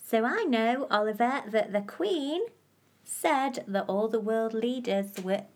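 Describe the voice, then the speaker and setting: A woman's voice: a little high, animated, slow. A woman co-hosting a podcast in casual chat, teasing her partner playfully. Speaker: podcast co-host.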